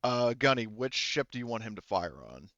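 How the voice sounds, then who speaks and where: A man's voice: medium pitch, animated, a little fast, fairly bright tone, no echo, dull audio. A man acting as game master in a tabletop roleplaying game, talking informally and thinking aloud with casual swearing. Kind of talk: running an online tabletop roleplaying game, rules talk.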